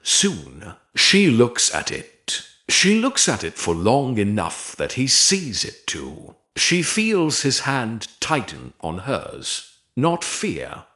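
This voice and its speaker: A man narrating dramatic romance fiction in a measured, deliberate way, in short phrases with pauses. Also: medium pitch, wildly swooping pitch, sharp tone. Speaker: audiobook narrator.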